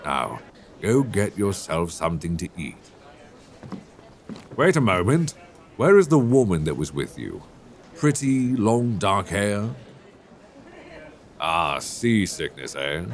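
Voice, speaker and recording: Genial male ship's captain, acted by a man, fantasy audio drama, theatrical, very animated intonation, unhurried pace. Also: deep voice, full character voice. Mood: genial, businesslike.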